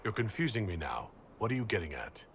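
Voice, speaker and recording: A stern, weary male commander in a video game. His voice is low and restrained, curt and guarded, with faint ambient noise. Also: big pitch swings, quick pace, a bit bright. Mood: dry. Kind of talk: video game dialogue acting.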